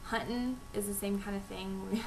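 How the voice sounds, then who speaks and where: Gravelly young Texas woman, hissy webcam. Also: medium pitch, fairly even intonation, moderate pace. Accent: North Texas. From North Texas. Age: young adult. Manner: chatty, frequent ums. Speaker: vlogger. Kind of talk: casual talk to camera, reading a word list. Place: small room at home, indoors. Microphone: webcam microphone, low volume. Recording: quiet webcam mic with hiss.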